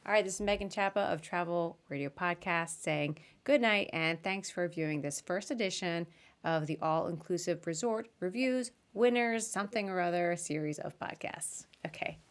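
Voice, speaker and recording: Woman, slightly low voice, podcast host, informal, conversational interviewer, chatty. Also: normal speed.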